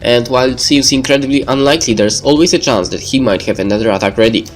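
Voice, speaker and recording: A man's voice: medium pitch, quick pace. A man reading the narration of a visual novel aloud in a let's play style. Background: game music.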